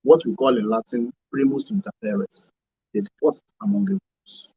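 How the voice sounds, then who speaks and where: A man's voice: high voice, huge pitch swings, normal speed, gravelly, dark tone, slightly rough, no room sound. A man with a Nigerian accent speaking as a radio panel guest, explaining earnestly and repeating points for emphasis. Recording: dull, band-limited radio sound.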